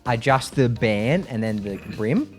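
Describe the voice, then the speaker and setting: A man's voice: mid-range voice, lively intonation, unhurried. A man, a player chatting casually in a tabletop game. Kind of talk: casual improvised group tabletop chat. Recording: music playing under the voice. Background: music.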